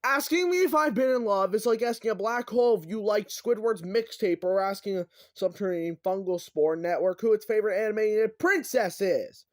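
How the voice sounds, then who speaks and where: A man's voice: high voice, moderate pace, hardly any pauses, no room sound, close to an everyday voice. A man voicing a brash chaos demon from a book, theatrical and taunting, like a mocking salesman. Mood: indignant. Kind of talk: animated audiobook character reading.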